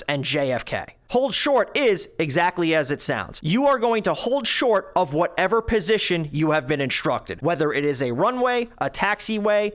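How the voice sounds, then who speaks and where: A man presenting an instructional tutorial, explaining things clearly step by step. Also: sits high, a bit bright. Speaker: flight simulation tutorial presenter.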